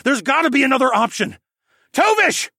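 A man's voice: high-pitched, wildly swooping pitch, normal speed, fairly bright tone, husky, no room sound, clean studio sound, voice pushed up, exaggerated voice. A man voicing an impulsive, emotional young male hero in a fantasy audiobook, blurting urgently and informally. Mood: alarmed, desperate.

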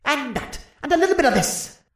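Man voicing a high-pitched grumbling teenage dragon. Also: big pitch swings, a little fast, roomy sound, full character voice. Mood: gleeful. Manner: huffy, grumbling. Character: grumbling adolescent male silver dragon, cheeky and put-upon.

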